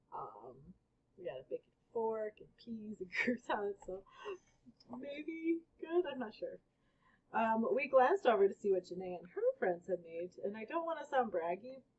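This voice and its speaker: A woman reads a children's story aloud with lively swings in pitch. Her voice is a little husky and sits at an average height. The sound is somewhat dull and lo-fi. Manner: animated, acts out exclamations and characters' lines. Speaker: storybook reader. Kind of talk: expressive children's read-aloud with casual asides.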